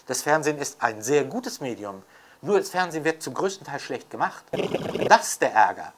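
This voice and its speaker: A man's voice: on the high side, a bit bright. A man talking spontaneously and emphatically in an opinionated interview style, often repeating his points to drive them home. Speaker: interviewee, commentator on media theory.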